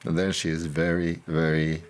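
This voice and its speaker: An older man from Norway speaking slowly and gently about his daughter, with non-native phrasing. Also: rumbling low, monotone. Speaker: father. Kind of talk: documentary interview.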